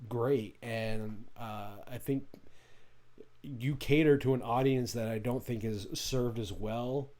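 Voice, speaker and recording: A man in a casual chat, speaking haltingly and leaning on filler words. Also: medium pitch, steady tone, a little mellow.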